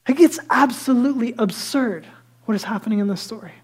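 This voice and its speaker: A man with a high, light voice preaching a sermon as a pastor, telling stories lively and dropping into hushed asides. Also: brisk, husky. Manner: lively storytelling that drops to hushed, near-whispered asides; self-deprecating and conversational.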